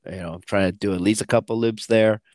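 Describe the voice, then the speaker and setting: Male coach for casual podcast chat, fairly deep voice, dry close sound, halting delivery that often restarts its phrases. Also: fairly even intonation, darkish tone, no echo. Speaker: endurance coach and podcast co-host. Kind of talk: casual conversational podcast talk.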